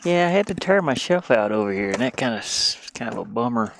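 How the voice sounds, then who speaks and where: A middle-aged person streams in a loose, casual way at a medium pitch. The talk rambles along playfully, with words often repeated for fun. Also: sing-song, fairly quick, creaky, a little hiss or hum. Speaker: game streamer. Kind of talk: casual livestream chat.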